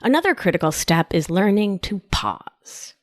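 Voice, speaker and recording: A woman's voice: medium pitch, normal speed, no room sound, studio quality. A woman with an Argentine accent hosting a solo podcast as a life coach, talking in a playful, affectionate way with side remarks. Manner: lively, playful, affectionate, wanders into asides. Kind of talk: scripted solo podcast talk. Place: New York.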